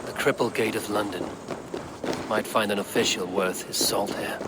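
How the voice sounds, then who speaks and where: A man playing a stern, proud Viking raider in a video game, speaking in a blunt, measured way. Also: lowish voice, steady tone, very noisy, close to an everyday voice. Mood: measured, confident. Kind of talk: video game cutscene acting.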